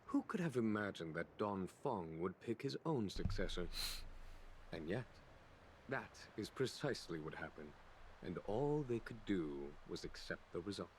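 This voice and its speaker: A man playing a calm, shrewd general in a video game, speaking formally and eloquently without hurry. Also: fairly low pitch, moderate pace, a little hiss or hum, low-quality recording. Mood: musing, shrewd. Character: calm, shrewd male general, composed and courtly. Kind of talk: video game dialogue acting.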